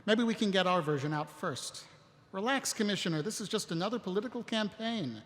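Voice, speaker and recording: A man's voice: high voice, animated, brisk, a bit bright. A man acting as an ailing young aide, dryly loyal and stoic, in a live audio drama. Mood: dry, reassuring. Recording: echoey hall, live stage recording.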